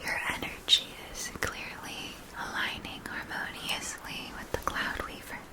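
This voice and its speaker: A gentle, attentive keeper of a magic wand shop, played by a woman in an ASMR fantasy roleplay. She speaks in a soft whisper and takes her time over each phrase. Her words are carefully formed and soothing. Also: close to an everyday voice. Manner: slow, soothing, careful enunciation. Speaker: ASMR performer.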